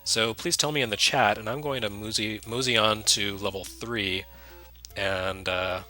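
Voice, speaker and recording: A soft-spoken man giving loose, self-deprecating commentary while streaming a retro game, full of fillers and restarts. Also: fairly low pitch, fairly even intonation, a little slow. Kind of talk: casual live-stream commentary. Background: game music under the voice.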